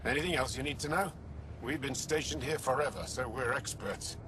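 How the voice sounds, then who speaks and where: An actor playing a matter-of-fact alien lookout soldier in a video game, with a blunt, dry manner. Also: male voice, mid-range voice, a little fast, natural voice. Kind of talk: dramatic game dialogue. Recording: clear noisy outdoor ambience behind.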